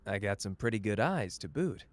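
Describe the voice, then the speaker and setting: A man's voice: fairly low pitch, big pitch swings, moderate pace, a little hiss or hum. A man playing a cocky, flamboyant young sorcerer who teases the listener in a playful, smug roleplay audio drama. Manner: playful, smug, drawling teasing.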